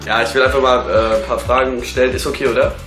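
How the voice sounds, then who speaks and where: A man's voice: mid-range voice, steady tone, fast talker, roomy sound, over music, voice pushed down, close to an everyday voice. A man putting on the voice of an awkward, hesitant male hotel customer for a prank call. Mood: awkward, probing.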